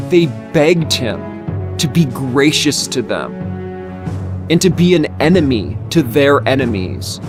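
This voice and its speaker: A man narrating dramatized scripture in a solemn, measured, dramatic way. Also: medium pitch. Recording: loud music under the voice.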